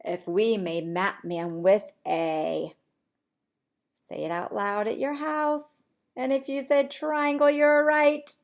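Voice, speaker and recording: Preschool teacher lady reading a kids book out loud, slow, kinda high voice, singsongy, asks the kids questions. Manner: sing-song, expressive, addressing children with questions. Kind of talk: read-aloud of a children's picture book to young children.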